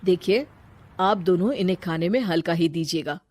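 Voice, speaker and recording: A woman voicing a family doctor who gives calm, measured advice in a comic story. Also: lowish voice, pitched below the performer's own voice, natural voice. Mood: calm, advising.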